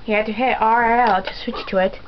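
A woman's voice: fairly high pitch, steady tone, noisy background. Casual woman commenting on a game. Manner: casual, chatty and quick. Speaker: gamer. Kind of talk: let's play commentary. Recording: dull lo-fi game capture with music.

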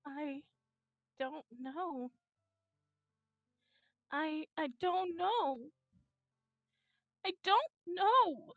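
A woman acting a timid, high-voiced anime girl. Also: expressive, a bit bright, dry recording, highs cut off, full character voice. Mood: frightened, confused. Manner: halting, drawn-out, timid. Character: anxious, hesitant, sad teenage anime girl.

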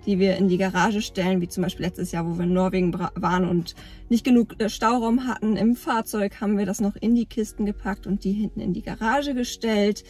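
A woman's voice: mid-range voice, fairly even intonation, fairly quick, darkish tone, husky, faint music behind. A woman in her thirties chatting casually in a vlog from inside a parked car, rambling with lots of fillers, filmed at arm's length. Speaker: camping vlogger. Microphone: phone or camera at arm's length.

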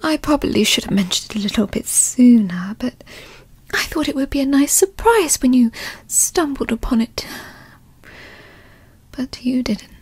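A woman playing a doting, possessive, dominant girlfriend in an ASMR roleplay, mostly in a breathy whisper with motherly, teasing coaxing. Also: high voice, lively intonation, normal speed, long pauses, a little mellow, dry recording. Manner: coaxing, teasing, pet names.

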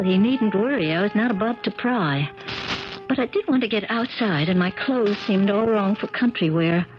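A woman's voice: mid-range voice, natural voice. An actress in a 1970s radio drama playing an uneasy, genteel young woman visiting her uncle. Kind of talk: 1970s radio drama acting, first-person narration and dialogue. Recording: dull crackly 1970s radio recording, music behind.

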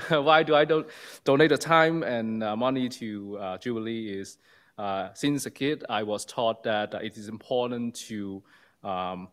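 Hesitant low-voiced man from Hong Kong. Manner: hesitant, many fillers. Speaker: congregation member. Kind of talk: personal testimony. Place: church sanctuary.

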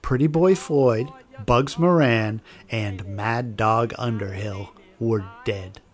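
A man's voice: mid-range voice, takes it slowly. A man reading scripted documentary narration as the narrator. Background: music.

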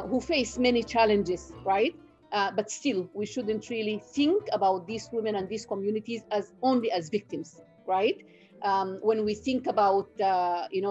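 A middle-aged woman from Sudan whose first language is Nubian, a professor giving an online guest lecture in a measured way with many fillers and restarts, on a video call from home. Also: mid-range voice, a little mellow, dull audio. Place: home room.

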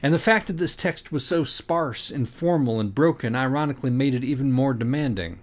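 A man reading a parody audiobook in a dramatic, deliberate, mock-earnest way. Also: mid-range voice. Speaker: audiobook reader. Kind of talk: dramatic scripted narration of a parody children's book.

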